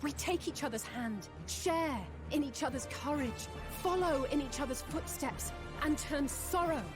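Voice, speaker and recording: A steadfast young heroine speaks in a high, earnest voice. Her delivery is deliberate, dramatic game acting, with music clearly heard behind it. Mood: resolute, heartfelt.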